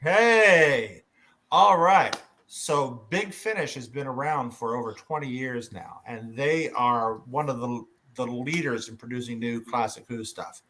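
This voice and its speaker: A man hosting a fan panel in casual conversation, with a hesitant, halting delivery. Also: mid-range voice, pushed and bright, low-quality recording.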